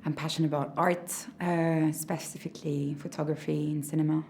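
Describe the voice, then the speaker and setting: A woman's voice: low-pitched, little pitch movement, fairly quick, vocal fry, a little mellow. A French woman in her twenties introducing herself in English to the camera, hesitant with many fillers and laughs. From France. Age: 20s. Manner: giggly, hesitant, lots of fillers. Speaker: acting graduate.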